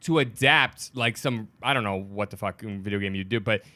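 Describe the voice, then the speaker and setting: A man co-hosting a podcast in casual movie banter, talking emphatically and with swearing, often in halting phrases. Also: fairly low pitch, pushed and bright. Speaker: podcast co-host.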